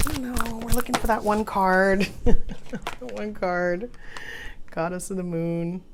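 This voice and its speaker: A woman's voice: medium pitch, steady tone, slow pace, noisy background. A woman hosting an oracle card reading in an informal, musing, conversational way. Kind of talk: casual rambling card reading, partly read aloud from a guidebook.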